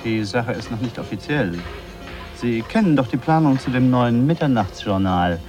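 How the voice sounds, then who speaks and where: A man acting a secretive, smooth-talking manager in a German radio drama, polite and coaxing. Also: mid-range voice, animated, moderate pace, darkish tone, faint music behind, natural voice. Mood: coaxing, discreet. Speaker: actor.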